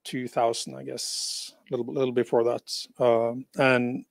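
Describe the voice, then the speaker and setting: A man's voice: medium pitch, little pitch movement, a little slow, vocal fry, no room sound. A middle-aged man from Norway, a panel guest, talking in a casual, rambling way full of fillers into a handheld mic. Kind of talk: panel conversation. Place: meeting room.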